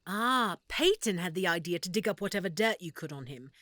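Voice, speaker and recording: A woman playing an affectionate, excitable half-elf shopkeeper in a roleplay audio drama, speaking expressively and warmly to the listener. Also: lowish voice, brisk, no echo, clean studio sound. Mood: intrigued, attentive. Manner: expressive, warm, reacting with feeling.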